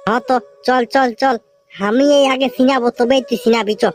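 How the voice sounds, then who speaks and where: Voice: high voice, fairly even intonation, brisk, faint music behind, close to an everyday voice. A performer voicing a bossy, persuasive village friend in a comic cartoon, nagging and boasting in a rustic Purulia accent. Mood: boastful. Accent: Purulia, West Bengal.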